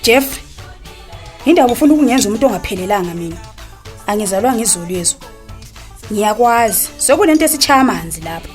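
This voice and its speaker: A woman playing a quick, reproachful Zimbabwean wife. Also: medium pitch, animated, faint music behind, close to an everyday voice. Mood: indignant. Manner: probing, reproachful questioning. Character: wronged, confronting wife and mother, fed up. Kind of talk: naturalistic radio drama acting.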